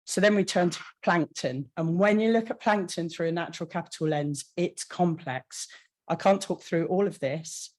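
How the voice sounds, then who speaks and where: A woman presenting at a conference about her team's programme, hoarse from losing her voice, talking in a chatty, informal way with frequent fillers and restarts. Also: on the low side, normal speed, darkish tone. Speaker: conference speaker from a government marine team.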